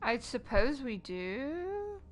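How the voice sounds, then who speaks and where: A woman's voice: high-pitched, big pitch swings, very slow. Giggly, teasing young woman gamer. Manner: giggly, teasing, swears freely. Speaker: streamer. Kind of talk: casual gaming chat.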